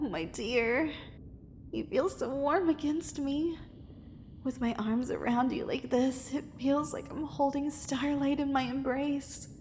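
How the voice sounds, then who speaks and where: A woman's voice: high-pitched, darkish tone, long reverb tail, a little hiss or hum. A woman voicing a possessive, tender mermaid in an ASMR romance roleplay, with formal, flowery, coaxing and intimate speech. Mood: pleading, adoring. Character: possessive, adoring female angler mermaid, dangerous and tender.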